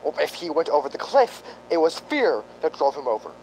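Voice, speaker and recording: A man playing a frail, injured doctor in a radio drama, halting, apologetic and evasive. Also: big pitch swings, darkish tone, low-quality recording. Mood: evasive, sorrowful. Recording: faint rumbling background noise.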